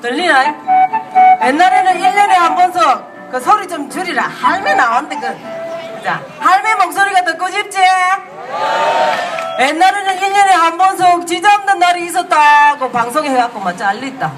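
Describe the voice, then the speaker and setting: Someone doing a brash old grandmother with a thick Gyeongsang accent, bossing and teasing the crowd in a very high, shrill voice. It is picked up from far off over a public address system. A big crowd and loud noise fill the air behind her. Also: lively intonation, normal speed, music in the background. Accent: Gyeongsang dialect. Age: older. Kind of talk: comic character act at a rally.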